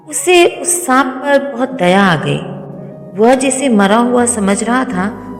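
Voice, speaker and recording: A woman narrating a scripted moral story in a deliberate storybook manner. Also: on the high side. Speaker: storyteller and channel host. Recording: echoey reverberant voice with music behind. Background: background music.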